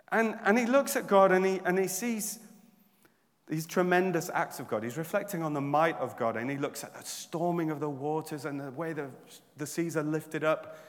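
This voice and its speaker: Animated preacher in a reverberant hall. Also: male voice, on the high side. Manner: animated, humorous, shifts from quiet reflection to loud exhortation. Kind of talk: sermon.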